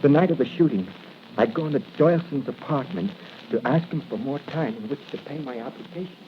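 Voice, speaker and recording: An actor playing a frightened, earnest young bank clerk in a 1940s radio drama, pleading and stammering. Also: male voice, on the high side, brisk, lightly acted. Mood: anxious pleading. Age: young adult. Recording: dull, noisy vintage radio broadcast.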